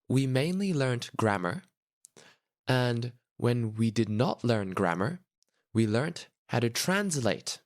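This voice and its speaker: A man reads a prepared lesson script for language learners at an unhurried, deliberate pace. His pitch sits in an ordinary middle range, and he explains each point carefully and plainly. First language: English. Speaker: podcast host, language teacher. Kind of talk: slow, clear scripted narration for learners.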